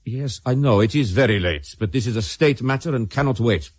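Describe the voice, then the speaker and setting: A male actor as an imperious Soviet major in a radio drama. The voice is raspy and medium in pitch, pressing and urgent, with emphatic rises and falls. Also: expressive, a little fast, no echo, natural voice. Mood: urgent, insistent.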